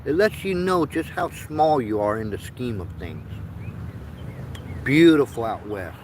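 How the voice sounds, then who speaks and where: Slow, earnest man in his fifties. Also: mid-range voice, big pitch swings, slight background noise, low-quality recording. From born in Vietnam, raised in the United States. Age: 50s. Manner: slow, earnest, stops to repeat himself. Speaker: livestream host. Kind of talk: rambling casual livestream monologue.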